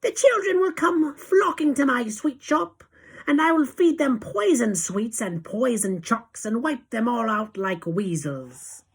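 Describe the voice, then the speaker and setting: A woman voicing excitable, fawning, ghoulish witches with shrill chanting cries in a storybook reading. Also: a little slow, slightly rough, full character voice. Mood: gleeful, excited. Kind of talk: dramatic audiobook character acting.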